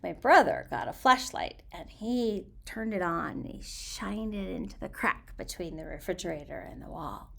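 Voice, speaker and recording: A woman storyteller reading aloud in an expressive, theatrical way, with deliberate phrasing and hushed emphasis. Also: on the high side, vocal fry.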